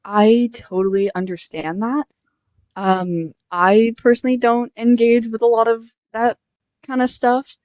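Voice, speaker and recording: A woman's voice: fairly high pitch, steady tone, measured pace, darkish tone, no echo, lo-fi sound. A woman in her twenties doing a casual street interview, chatty and friendly with lots of fillers. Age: 20s. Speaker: host interviewing strangers.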